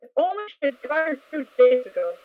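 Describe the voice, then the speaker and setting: A woman's voice: sits high, very animated, fast talker. A woman voicing a hardened, impatient adult mare soldier who speaks in a curt, dismissive way. The audio is tinny and phone-like.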